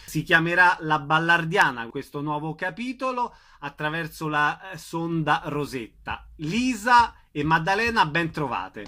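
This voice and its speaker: Bright-voiced male radio host. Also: on the high side, lively intonation, measured pace, few pauses. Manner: formal, announcing and prompting questions. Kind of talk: radio interview hosting.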